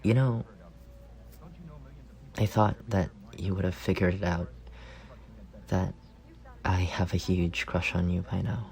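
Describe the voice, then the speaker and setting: A man playing an affectionate, teasing young roommate secretly in love, in an intimate ASMR roleplay with a soft, creaky voice. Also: deep voice, fairly even intonation, slow, long pauses, heavily acted. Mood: tender, wistful. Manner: playful teasing murmur with soft chuckles.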